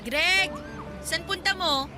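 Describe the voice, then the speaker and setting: A woman's voice: extremely high pitch, takes it slowly, music in the background. A woman playing a friendly young woman chatting with an old childhood pal in a radio drama. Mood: surprised, friendly. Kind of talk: Tagalog radio drama dialogue.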